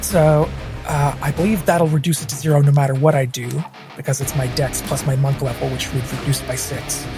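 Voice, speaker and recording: A woman with a fairly low voice plays a tabletop game. She explains her moves casually, with music clearly audible behind her. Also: steady tone, brisk. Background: background music.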